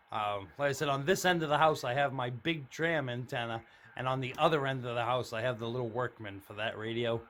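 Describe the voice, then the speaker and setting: A man's voice: mid-range voice, fairly bright tone. A middle-aged man narrating a casual, unscripted tour in a plainspoken, explanatory way. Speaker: hobbyist video host. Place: home room with radio gear, indoors.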